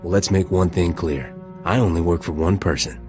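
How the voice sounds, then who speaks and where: Man voicing dry game smuggler captain, very low pitch, confident, roguish, faint music. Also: normal speed, darkish tone, natural voice. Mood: self-assured. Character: roguish, self-serving male starship captain, dryly flirtatious. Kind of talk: video game voice acting.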